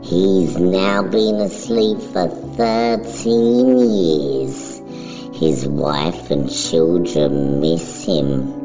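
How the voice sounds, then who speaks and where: A woman giving a solemn, measured, scripted dramatic narration of a story. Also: rumbling low. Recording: dull recording, music behind.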